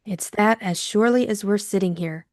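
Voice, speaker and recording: A woman's voice: mid-range voice, moderate pace, darkish tone, no room sound, studio quality, natural voice. A woman acting a frightened, secretive female client, timid and earnest, in an audiobook drama. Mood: afraid.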